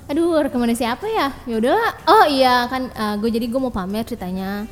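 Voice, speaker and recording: Giggly chatty young woman, high voice. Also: lively intonation, quick pace. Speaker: podcast co-host. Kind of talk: casual chat. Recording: hall reverb with faint music.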